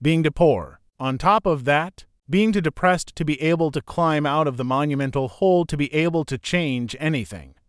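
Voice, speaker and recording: Smooth, dry-voiced male post reader. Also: on the high side, normal speed, hardly any pauses, no echo. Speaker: narrator reading forum posts. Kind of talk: scripted narration.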